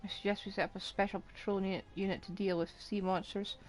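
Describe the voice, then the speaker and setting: A woman's voice: medium pitch, little pitch movement, fast, soft background music. A woman streaming a game, giving casual off-the-cuff commentary as she plays. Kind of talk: casual live gameplay commentary.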